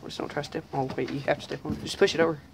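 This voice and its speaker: A man's voice: a little high, flat delivery, very fast, creaky. Young man swearing through noisy phone audio. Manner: loose, profane, jumpy. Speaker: vlogger exploring abandoned buildings. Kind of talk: casual handheld exploration chat. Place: outdoors in woods and ruined sheds. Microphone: phone camera carried while walking. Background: rain and loud handling noise.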